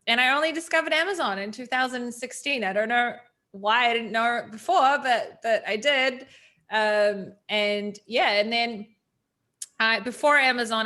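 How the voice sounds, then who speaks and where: A woman's voice: fairly high pitch, moderate pace, pushed and bright. A woman, chatting casually as an interview guest with frequent ums. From New Zealand.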